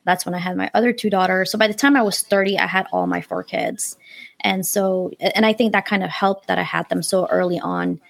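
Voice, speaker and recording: A woman's voice: medium pitch, brisk, gravelly, no echo. A woman in her forties, born in Afghanistan and raised in New York, telling her story as a podcast guest in an earnest, reflective way. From born in Afghanistan, raised in New York City. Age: 40s. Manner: earnest and reflective, religious phrases, frequent fillers. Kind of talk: personal storytelling in conversation.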